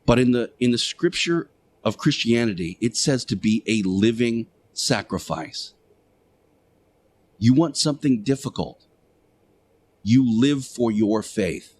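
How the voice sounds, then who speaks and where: A man delivering a sermon-like radio monologue as a show host, speaking emphatically and preachily with deliberate rhetorical repetition. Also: medium pitch, lively intonation, darkish tone.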